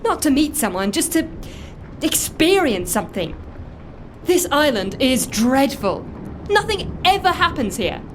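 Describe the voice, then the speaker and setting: A woman playing an eager, quirky middle-aged islander in an audio drama, hesitant yet excitable, with deadpan humour. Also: high-pitched, measured pace, slight background noise. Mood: excited, eager. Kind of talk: audio fiction drama acting.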